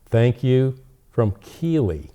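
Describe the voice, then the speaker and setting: A man's voice: medium pitch, sing-song, slow pace, mellow. A man playing kindly old Father Christmas as an avuncular co-host of a children's holiday show. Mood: reading aloud. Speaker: storytime co-host.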